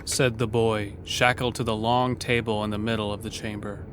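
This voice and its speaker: A man's voice: lowish voice, level tone, moderate pace, slight background noise. A man narrating a scripted horror story in an audio drama. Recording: clear outdoor street ambience behind voice.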